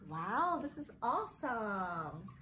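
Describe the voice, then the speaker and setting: A woman's voice: medium pitch, very animated, takes it slowly, noisy background. A woman hosting story time for young children, reading in a sing-song way with simple phrasing aimed directly at little listeners. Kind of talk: sing-song read-aloud of a picture book for young children.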